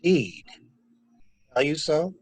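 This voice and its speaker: A man playing a wry, skeptical, sardonic gentleman in an amateur murder mystery play. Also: fairly high pitch, expressive, takes it slowly. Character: wry, skeptical man confiding with a troubled woman. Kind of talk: amateur radio-play acting.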